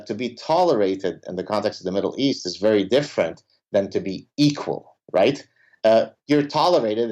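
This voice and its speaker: A man from Lebanon, a native Arabic speaker, talking in a conversational interview, often pausing on fillers, then stressing points with emphasis. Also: lowish voice, a little mellow. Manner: hesitant with many fillers, emphatic. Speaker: podcast guest, professor. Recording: dull lo-fi sound.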